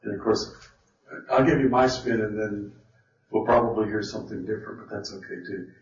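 A man's voice: lowish voice, steady tone, brisk. A man leading a Bible study and question session, talking informally and thinking aloud with restarts. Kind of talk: informal teaching talk. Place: meeting hall with an audience. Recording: roomy, distant, dull sound.

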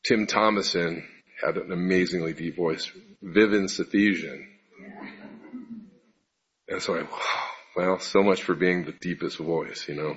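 Deep-voiced man giving a sermon. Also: fairly even intonation, a little slow, pauses often, slightly rough. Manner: conversational, self-deprecating, asks the audience questions. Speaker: preacher giving a congregation talk. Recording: muffled lo-fi recording.

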